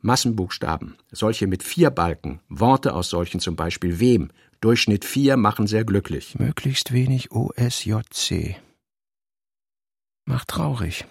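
A man reads literary prose for a radio production in a lowish voice with a lot of gravel in it. The sound is dry and close. His phrasing is clipped, like notes read aloud. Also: lively intonation, a little slow, no echo. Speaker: literary reader. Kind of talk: dramatic literary reading in a radio play.

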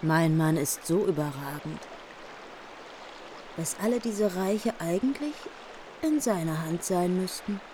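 A woman's voice: on the low side, expressive, a little slow, pauses often, noisy background. A woman playing a proud, defiant noblewoman of legend in a radio play, with formal, poetic speech. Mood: adoring, proud.

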